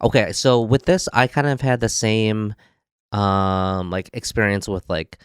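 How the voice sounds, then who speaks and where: A man in his thirties with a Midwestern accent and a low, smooth voice, co-hosting a podcast. He thinks out loud and leans on "like" as he talks. The sound is close and dry. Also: steady tone, a little slow, no room sound. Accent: Midwestern American. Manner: thoughtful, lots of "like" fillers. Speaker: podcast co-host. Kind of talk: casual chat. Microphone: podcasting mic.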